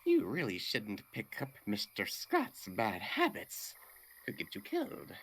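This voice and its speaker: A man voicing a small, petty game villain with mock-polite, affected manners and a creaky voice. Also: on the low side, wildly swooping pitch, a little slow, fairly bright tone, a little hiss or hum, exaggerated voice. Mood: theatrical, indignant. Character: small theatrical male villain, mock-courteous, petty and sneering. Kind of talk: comedic video game voice acting.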